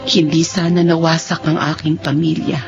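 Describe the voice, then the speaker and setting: A woman's voice: low-pitched, little pitch movement, moderate pace, gravelly. A woman playing a remorseful imprisoned wife, telling her confession solemnly as radio drama narration. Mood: solemn regret. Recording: dull recording with loud music.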